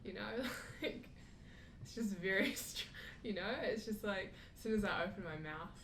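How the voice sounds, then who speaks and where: A woman's voice: medium pitch, moderate pace, fairly bright tone. Young kiwi woman chatting away, lots of "like", roomy echo and noisy background. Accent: New Zealand. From New Zealand. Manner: chatty, laughs often, fillers like "like". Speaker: guest. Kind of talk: casual chat. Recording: echoey room with clear background noise.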